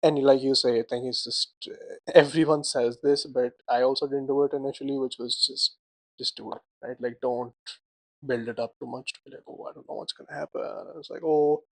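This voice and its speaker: A man's voice: medium pitch, fairly quick, no echo, poor audio. Chatty young man, lots of "like" and "right?". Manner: animated, laughs often, many fillers like "like" and "right?". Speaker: podcast co-host. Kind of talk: casual chat.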